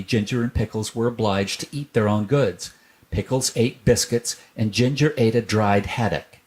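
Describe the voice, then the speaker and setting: Male narrator, slightly deep, scripted reading of a children's tale. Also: darkish tone, poor audio. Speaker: storyteller.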